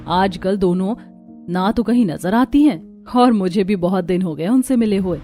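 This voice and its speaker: A woman voicing a kind, polite young housewife in a cartoon story, gentle and earnest. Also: mid-range voice, moderate pace, faint music behind, close to an everyday voice. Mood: friendly, curious.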